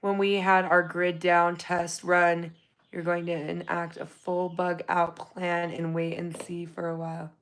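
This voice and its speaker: A woman hosting a casual livestream from her phone, reading viewer comments, her voice going raspy from talking so long. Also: on the low side, measured pace. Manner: chatty, excited when thanking viewers for gifts. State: losing her voice, getting raspy. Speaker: livestream host.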